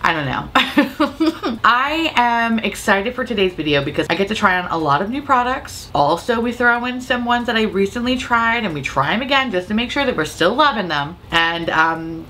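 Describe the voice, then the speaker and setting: A young woman with a slightly raspy voice from a cold, chatting playfully and profanely while trying on makeup at home. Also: on the high side, a bit bright, a little hiss or hum. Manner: playful, profane, self-mocking. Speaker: beauty vlogger. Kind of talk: casual makeup vlog chat.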